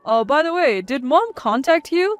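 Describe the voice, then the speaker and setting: A woman's voice: high voice, sing-song, moderate pace. A woman narrator voicing a supportive young father and husband in a story, earnest and reassuring. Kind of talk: scripted story dialogue.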